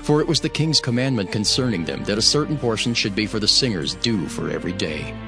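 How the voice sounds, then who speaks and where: A man narrating scripture in a solemn, measured, scripted style, as in a dramatised audio Bible. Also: on the low side, animated. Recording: music playing behind the voice. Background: background music.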